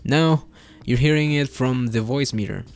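A young adult man hosting an informal software tutorial, explaining things step by step in a casual way. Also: mid-range voice, moderate pace, soft background music. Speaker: tutorial host. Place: indoor room at a desk.

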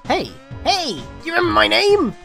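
Woman doing a shrill, excitable little fire monster. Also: normal speed, soft background music, exaggerated voice. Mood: excited, indignant. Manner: exclaiming, melodramatic.

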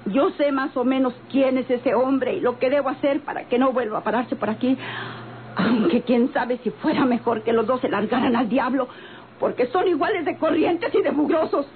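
A woman's voice: high voice, moderate pace, lightly acted. An actress playing a stern, bitter motel-owning mother in an old radio soap, blunt and melodramatic, with a northern Mexican accent. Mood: furious, bitter. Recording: tinny, noisy vintage radio recording.

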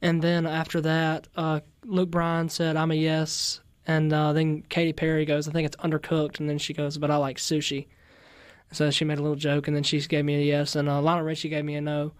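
A teenage boy with a raspy voice, answering interview questions politely with many fillers in a radio studio. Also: a little high, completely flat delivery, brisk, no room sound. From Mississippi-Louisiana border. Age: teens. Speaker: interview guest, singer. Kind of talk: casual interview. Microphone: studio mic with headphones. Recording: dry close studio sound.

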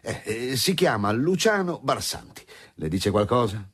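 An actor playing a dogged, world-weary middle-aged police commissioner in a radio drama. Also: male voice, medium pitch, big pitch swings, a little slow, a bit bright, breathy edge, heavily acted. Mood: tactful, firm. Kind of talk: naturalistic radio drama acting.

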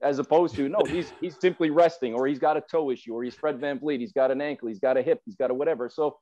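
A man's voice: mid-range voice, quick pace, warm tone. A man around forty, a sports announcer appearing as a podcast guest, making his points emphatically with repeated phrasing. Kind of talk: sports discussion. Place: indoors at home, video call. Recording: slightly dull video-call audio.

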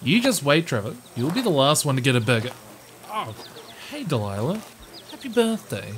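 A man's voice: fairly high pitch, moderate pace, slight background noise. A man playing a good-natured, competitive big brother in a roleplay audio drama, bantering and teasing. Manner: bantering, animated.

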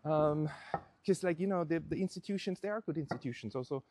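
A man in his thirties giving halting, off-the-cuff commentary, thinking aloud as he walks outdoors with a camera at arm's length. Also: a little high, a little mellow, dry recording. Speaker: vlog host. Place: outdoors on a suburban street. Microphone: handheld camera at arm's length.

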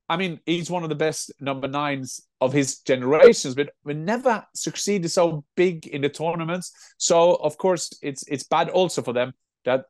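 A man in his sixties with a Norwegian accent, co-hosting a casual football chat from home over a video call, animated and given to restarting his words. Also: a little high, no echo. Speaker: football pundit and co-host. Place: home room with football shirts.

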